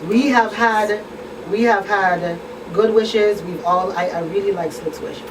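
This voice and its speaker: A woman's voice: medium pitch, unhurried. A woman hosting a community radio talk show, speaking earnestly and repeating her points for emphasis. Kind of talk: casual panel chat. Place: radio studio. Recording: distant mic with clear background noise.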